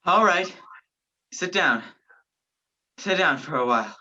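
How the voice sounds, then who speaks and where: A woman's voice: fairly low pitch, lively intonation, takes it slowly, pushed and bright, full character voice. A woman acting in a radio play as a sardonic, commanding man on the run, with dry sarcasm and clipped orders. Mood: weary, relieved. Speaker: student stage actor. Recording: dull, lo-fi virtual recording.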